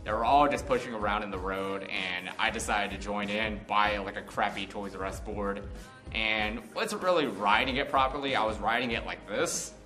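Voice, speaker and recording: A young American man with a fairly low but very bright, forward voice chats his way through a personal story. He rambles conversationally without a script, with music playing faintly behind him. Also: normal speed. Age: 20s. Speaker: vlog host. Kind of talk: casual unscripted storytelling.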